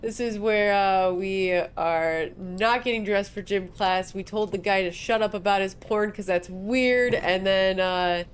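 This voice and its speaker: A woman's voice: mid-range voice, measured pace, hardly any pauses, clear, resonant tone. A woman co-hosting a game stream in casual chat, talking through a stuffy nose, rambling and laughing easily. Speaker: streamer co-host. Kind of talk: casual let's-play chat.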